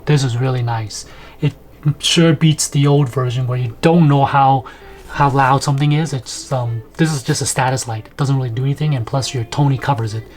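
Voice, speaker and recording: A man's voice: medium pitch, fairly even intonation, fairly quick, darkish tone. A man in his thirties giving casual, conversational unboxing and setup commentary as he thinks aloud in a room at home. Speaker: video host, product reviewer. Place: small home room, indoors.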